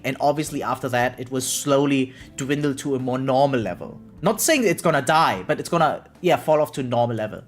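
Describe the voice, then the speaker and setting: A game streamer chatting casually and thinking aloud in a rambling way, often checking in with a questioning tag. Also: medium pitch. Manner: rambling, repeatedly tags sentences with "right?". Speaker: variety game live streamer. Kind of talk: casual live stream chat, thinking aloud while gaming. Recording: music playing behind the voice. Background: background music.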